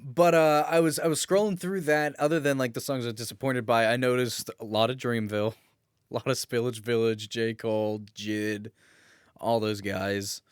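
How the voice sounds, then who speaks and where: Male podcast co-host, clear smooth voice, casual crude joker, close dry sound. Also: medium pitch, fairly even intonation, moderate pace, dry recording. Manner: joking, crude humour. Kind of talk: casual comedic banter.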